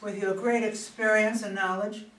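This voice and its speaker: A woman's voice: medium pitch, level tone, moderate pace, fairly bright tone, natural voice. Far echoey stage reading, actress as younger woman politician, smug n calculating, sure of herself. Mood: smugly confident. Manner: self-assured, calculating. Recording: echoey room, distant, noisy.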